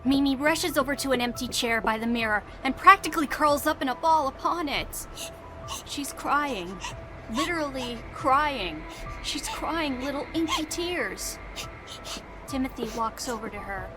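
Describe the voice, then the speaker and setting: A woman reading scripted storybook narration for an audio drama in an expressive, dramatic storytelling manner. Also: sits high, a little slow, low-quality recording. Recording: music behind the voice.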